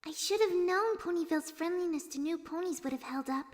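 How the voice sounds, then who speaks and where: A woman voicing a timid, gentle young female pegasus pony in a cartoon-style story, apologetic and polite, with long reverb on the voice. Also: very high-pitched, a little fast. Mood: musing.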